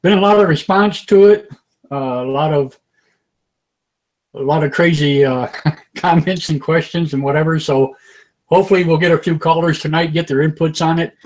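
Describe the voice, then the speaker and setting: Raspy older man hosting a call-in show. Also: on the high side, steady tone, moderate pace. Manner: easygoing, many filler "uh"s, chuckles. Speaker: host.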